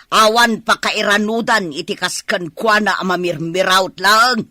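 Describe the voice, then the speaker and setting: Woman as bright-voiced fretful older mother. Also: medium pitch, unhurried, no room sound, studio quality. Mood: doubtful, worried. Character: doubtful older mother fretting over her son. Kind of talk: Ilocano radio drama acting.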